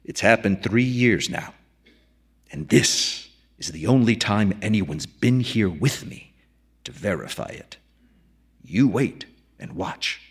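Man playing a low, husky, brooding lighthouse keeper. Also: expressive, slow, heavily acted. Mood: confiding. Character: brooding veteran male lighthouse keeper, wistful and mysterious.

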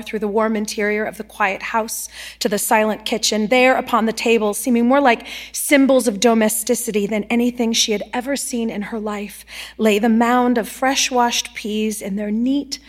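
A woman's voice: on the high side, steady tone, moderate pace. A woman actor reading a literary short story aloud as a narrator.

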